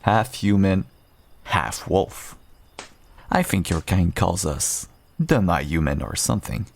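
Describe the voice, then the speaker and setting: A man playing a guarded but kind half-wolf rogue in an intimate fantasy audio roleplay, unhurried and dryly understated. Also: animated, full character voice. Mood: earnest, reflective. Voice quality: low, gravelly, husky. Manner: unhurried, dry, understated, self-deprecating.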